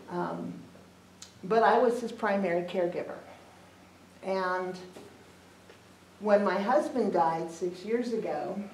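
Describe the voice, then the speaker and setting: A middle-aged woman, a death doula on a panel, speaking earnestly and in a measured way to an audience. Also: fairly low pitch, darkish tone. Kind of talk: personal talk to an audience. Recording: echoey room, far from the mic, some noise.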